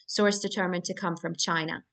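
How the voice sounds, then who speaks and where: A woman's voice: lowish voice, steady tone, moderate pace, gravelly, fairly bright tone. A woman reading scene directions as narrator at a live screenplay table read.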